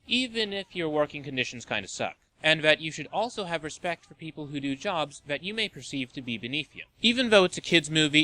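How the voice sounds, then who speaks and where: A man's voice: on the high side, bright, forceful tone, low-quality recording. A man hosting a video game and movie show, reading scripted list narration with a wry, tongue-in-cheek manner.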